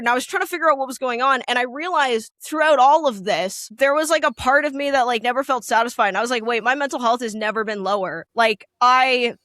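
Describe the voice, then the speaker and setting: A young woman from Canada with a high, loud, piercing voice talks candidly, rambling through plenty of fillers. Her voice sits close and dry, with no room around it. She is a musician who is a guest in a relaxed interview. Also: dry recording. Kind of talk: casual interview chat.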